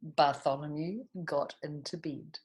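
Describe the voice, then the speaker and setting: Low-pitched woman reading to children. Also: unhurried. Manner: animated, questioning, speaking directly to young listeners. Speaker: children's storytime reader and host. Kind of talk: read-aloud storytime with chatty asides to children.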